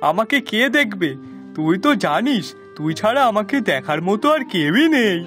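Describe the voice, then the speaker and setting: A man's voice: high-pitched, very animated, normal speed, fairly bright tone, faint music behind. A man playing a fussy, dependent, easily exasperated master of the house in a cartoon, in a theatrical, complaining way. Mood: fussy, reluctant. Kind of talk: cartoon voice acting.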